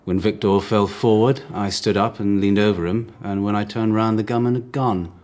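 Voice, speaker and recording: A man's voice: fairly low pitch, steady tone, normal speed, darkish tone, lightly acted. An actor playing a guarded, prickly businessman under questioning in a radio drama, curt and defensive. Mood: matter-of-fact.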